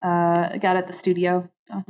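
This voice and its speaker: A woman with a fairly low voice chats casually as an interview guest. She drops quoted asides into her explanations. The audio is a little dull and lo-fi. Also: flat delivery, moderate pace, a little mellow, smooth. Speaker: interview guest, game narrative director. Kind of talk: casual chat.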